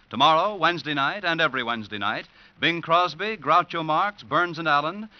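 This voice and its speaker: A male American announcer reads a scripted promo in 1940s radio style. His delivery is formal and polished, his voice on the higher side, the recording dull and dated.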